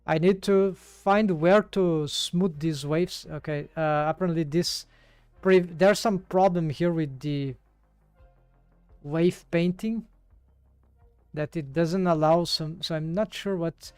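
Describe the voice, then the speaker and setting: A man's voice: a little high, takes it slowly, darkish tone, smooth, no room sound, faint music behind. A man with a Brazilian accent, working through a 3D rigging task live and thinking aloud with many fillers and restarts. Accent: Brazilian Portuguese. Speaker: 3D rigging streamer. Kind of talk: live tutorial while working.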